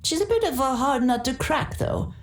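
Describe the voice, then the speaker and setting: A woman's voice: high-pitched, expressive, moderate pace. A woman voicing a folksy, affectionate, wryly gossipy townswoman in a video game. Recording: roomy sound, faint background noise.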